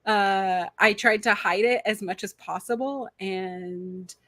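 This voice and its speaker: A woman with a New Jersey accent talks in a relaxed, chatty way and laughs easily. Her voice is bright and forward. The sound is dry and close. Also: mid-range voice, slow pace, no echo. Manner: laughs often, chatty storyteller. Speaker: podcast guest. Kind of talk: casual chat.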